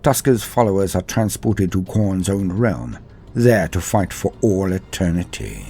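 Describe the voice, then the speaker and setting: A man reading a scripted lore history in a measured, steady storytelling delivery. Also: low voice, faint music behind. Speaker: lore narrator. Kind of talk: scripted narration.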